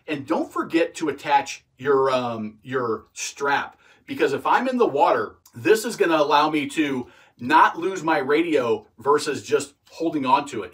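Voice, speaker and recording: A man's voice: mid-range voice, a bit bright, distant mic. A man giving informal, practical instruction like a boating teacher, repeating key points for emphasis. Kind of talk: informal instructional video talk.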